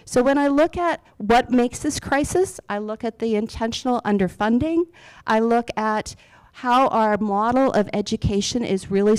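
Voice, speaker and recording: A woman's voice: a little high, darkish tone, no room sound, poor audio. A woman speaking earnestly and passionately on a panel about schools and teachers. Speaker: panelist, education advocate. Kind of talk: panel discussion.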